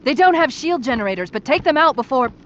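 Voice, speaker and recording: High-pitched, excitable alien soldier. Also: male voice, quick pace, slight background noise. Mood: frantic. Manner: frantic battle chatter. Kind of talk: video game voice acting. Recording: muffled, amid loud gunfire.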